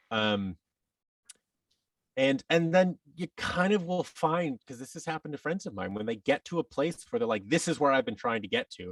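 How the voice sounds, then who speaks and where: A man telling his story casually as a podcast guest, reflective and halting, often acting out bits of quoted dialogue. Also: medium pitch, a bit bright, no echo, far from the mic. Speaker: podcast guest, actor and audio drama producer.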